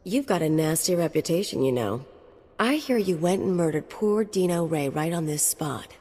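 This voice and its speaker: An actress playing a flirtatious, dangerous security chief in a video game, teasing and mocking. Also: female voice, fairly low pitch, expressive, moderate pace, dark tone. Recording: reverberant game mix.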